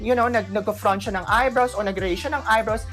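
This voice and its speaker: A woman's voice: fairly high pitch, fast, a bit bright. Emphatic Filipino woman talking over background music. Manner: emphatic, mixing in Tagalog. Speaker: online radio co-host. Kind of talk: casual explanatory chat. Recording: music playing behind the voice.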